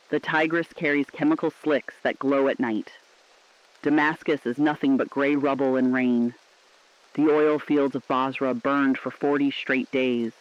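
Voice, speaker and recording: Dull, band-limited audio carries a man acting as a solemn radio correspondent with a medium-pitched voice. He reports humanity's collapse in grave, deliberate, poetic scripted narration. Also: lightly acted. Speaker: radio broadcaster.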